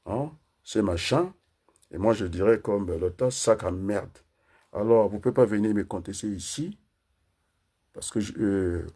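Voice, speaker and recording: A man's voice: deep voice, warm tone, slightly rough. A man from Congo giving a combative political monologue, hesitating often with fillers. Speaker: political commentator.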